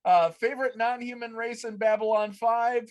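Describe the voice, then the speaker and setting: A male podcast host with a high voice chats casually from home over a video call. He moves through quick-fire questions and chips in his own answers. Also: fairly even intonation, darkish tone, muffled recording.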